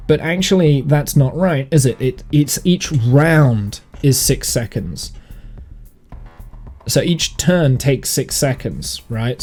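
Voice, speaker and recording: Guy rambling on a game stream, soft mellow voice bit croaky n sniffly, music on in the back. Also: a little high. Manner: rambling, thinking aloud. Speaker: live streamer and game master. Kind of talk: casual gaming livestream chat. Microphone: streaming mic monitored through headphones.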